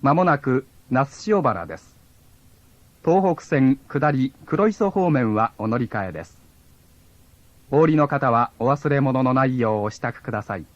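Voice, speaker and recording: A man's voice: medium pitch, lively intonation, a little fast, no room sound. A man reading scripted onboard train announcements in a formal, polite way. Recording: dull lo-fi old cassette tape.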